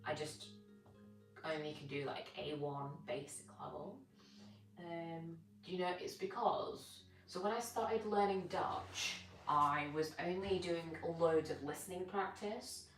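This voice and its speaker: A woman's voice: sits low, takes it slowly. A British woman whose first tongue was Gujarati, chatting in a rambling, informal way with live viewers as a fitness streamer while stretching. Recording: roomy, distant mic with music behind. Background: music.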